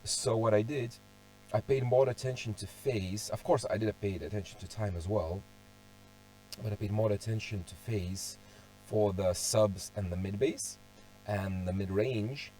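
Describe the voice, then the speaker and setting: Slow, low-voiced man losing his voice. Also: dark tone, soft background music, rough recording. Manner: thinks aloud, restarts often, clears his throat a lot. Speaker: car audio hobbyist presenting a tuning walkthrough. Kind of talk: casual screen-share tutorial.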